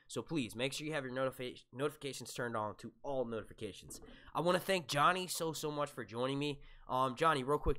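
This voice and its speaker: A man's voice: medium pitch, pushed and bright. A man hosting a casual podcast chat, rambling with lots of fillers. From United States. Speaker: podcast host.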